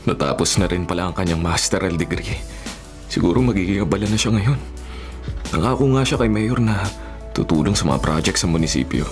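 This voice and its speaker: A man's voice: low-pitched, a little fast, natural voice. An actor playing a serious, shy young male bodyguard in a Filipino radio drama, earnest and hesitant, with a Filipino accent. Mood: subdued. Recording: music behind the voice.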